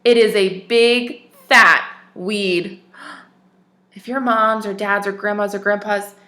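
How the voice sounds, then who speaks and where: A woman teacher reading a picture book aloud to young children, expressive and stopping to ask them questions. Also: medium pitch, slow pace, roomy sound.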